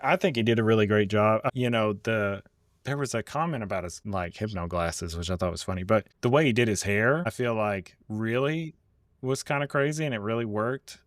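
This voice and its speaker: A man's voice: on the low side, a little fast, dry recording, studio quality. A man co-hosting a casual podcast chat, laid-back, with wry asides. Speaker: podcast co-host.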